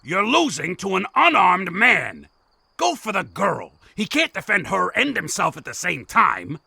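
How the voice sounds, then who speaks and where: A man's voice: high voice, wildly swooping pitch, a little slow, vocal fry, sharp tone, breathy edge, no room sound, heavily acted. A man playing a scheming, theatrical bandit ringleader in a fantasy visual novel. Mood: barking orders. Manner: theatrical, pleading then threatening. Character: scheming bandit ringleader posing as frantic merchant.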